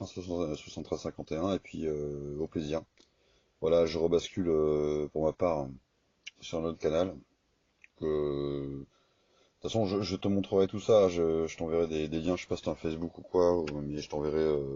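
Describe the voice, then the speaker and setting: A man's voice: rumbling low, warm tone, no room sound. A man chatting casually over two-way radio, rambling and hesitant in a colloquial way. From France. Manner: rambling, hesitant, colloquial, often ending on "quoi" and "hein". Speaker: radio amateur operator. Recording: slightly dull, lo-fi radio-chat recording.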